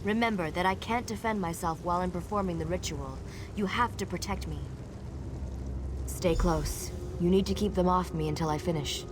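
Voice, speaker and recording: A woman's voice: fairly low pitch, moderate pace, noisy background, close to an everyday voice. An actress playing an earnest, purposeful female battle mage in a scripted fantasy game, with music under her. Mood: urgent, focused. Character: resolute female battle mage rallying allies. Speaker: game character.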